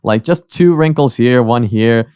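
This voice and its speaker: A man hosts a reaction video in a casual, halting way. His voice is clear and sits at a middle pitch. The recording is dry, with very little room sound. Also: a little mellow, no room sound. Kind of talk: casual commentary on a cartoon parody.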